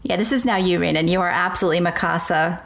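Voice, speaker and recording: A woman's voice: on the low side, quick pace, creaky, fairly bright tone. A woman from the East Coast of the United States, co-hosting a casual podcast and reading out listener messages in a friendly way. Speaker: podcast co-host. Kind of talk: casual chat.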